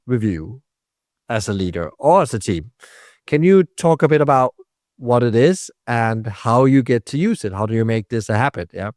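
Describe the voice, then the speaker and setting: Man, podcast host, emphatic, lively, dry close sound. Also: medium pitch, dry recording. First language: Danish. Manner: animated, emphatic, checks in with tag questions. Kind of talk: conversational interview.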